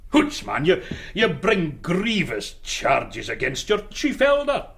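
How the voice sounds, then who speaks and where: A man's voice: high voice, wildly swooping pitch, slow, vocal fry, fairly bright tone, a little hiss or hum, full character voice. A man playing a stern, pompous senior Scottish churchman in a radio drama, scolding in formal archaic speech. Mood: stern, weighty. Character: stern, blustering senior Scottish churchman presiding over an inquiry.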